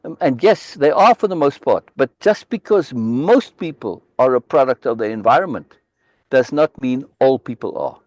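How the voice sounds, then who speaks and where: A man's voice: mid-range voice, very animated, moderate pace, a little mellow, dry recording. A man from Africa, hosting a live book reading discussion on a video call, speaking emphatically and insistently and repeating key words for force. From South Africa.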